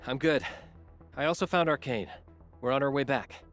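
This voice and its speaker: A man voicing a steady, reassuring young commander in a video game. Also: medium pitch, moderate pace, soft background music, natural voice.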